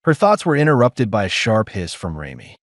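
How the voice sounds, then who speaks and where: A low-voiced man narrates a sci-fi audiobook with dramatic, measured delivery. His pitch moves a lot, rising and dropping through the lines. The sound is close and dry with no room around it. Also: wildly swooping pitch, dry recording. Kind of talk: scripted narration.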